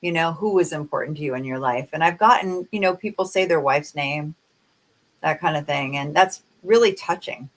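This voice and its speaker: A woman's voice: low voice, moderate pace. A woman whose first language is Dutch, hosting a casual podcast chat, agreeable and eager, with frequent filler words. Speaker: podcast host.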